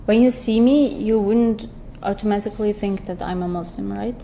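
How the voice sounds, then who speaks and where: A woman's voice: medium pitch, moderate pace, vocal fry, dark tone, a little hiss or hum. A young woman from Turkey chatting casually, with frequent "like" fillers and the odd Korean phrase. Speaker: vlog guest. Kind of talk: casual chat.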